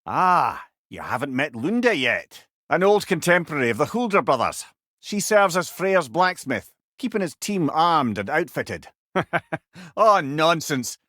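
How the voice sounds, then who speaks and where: A man's voice: on the high side, sing-song, unhurried, a bit bright, no echo, studio quality, full character voice. A man playing a witty, avuncular old Scottish counsellor in a video game, a severed head who talks in a wry, courtly, chatty way with salty turns of phrase. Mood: genial, explaining. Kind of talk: video game character dialogue.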